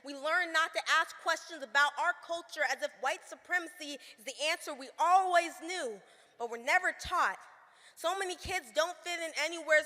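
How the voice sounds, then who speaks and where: A teenage young woman performing spoken word poetry with emphatic, deliberate delivery. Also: high voice, pushed and bright. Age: teens. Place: live stage performance in a hall. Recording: reverberant hall.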